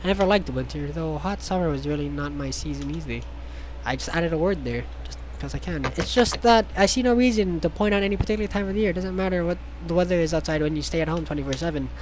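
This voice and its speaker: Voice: medium pitch, quick pace, darkish tone, a little hiss or hum. A streamer reading a visual novel aloud in a casual way, stumbling over the text and dropping sarcastic, sweary asides.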